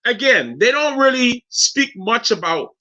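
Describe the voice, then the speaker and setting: A man in his fifties from Guyana with a Guyanese accent, telling stories in an animated, heartfelt way as a guest on a casual talk show, often restarting his sentences. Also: very high voice, measured pace, highs cut off. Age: 50s.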